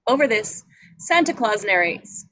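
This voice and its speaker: A woman's voice: mid-range voice, big pitch swings, a little slow, sharp tone, rough recording. A woman reading screenplay credits and action descriptions aloud as scripted narration. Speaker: stage directions reader. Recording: faint background noise.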